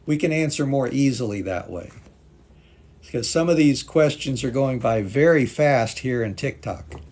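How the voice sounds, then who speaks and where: A man's voice: mid-range voice, animated, moderate pace, a little mellow. A native English-speaking man teaching English on a live stream, patiently reading viewer comments aloud and thanking them. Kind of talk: live-stream language class chat.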